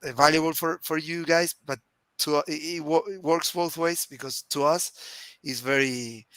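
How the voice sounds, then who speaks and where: Argentine guy in his 40s on a headset, bright forceful voice, kinda rambly and heartfelt, dry sound. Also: on the high side, fairly even intonation, normal speed, no echo. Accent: Argentine Spanish. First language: Spanish. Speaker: co-host. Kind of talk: casual live-stream chat. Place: small home room. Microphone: headset on video call.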